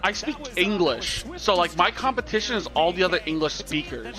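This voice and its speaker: High, animated man streaming a match. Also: a little fast, music in the background. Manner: rambling, chatty, swears freely. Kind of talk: casual chat over a live broadcast.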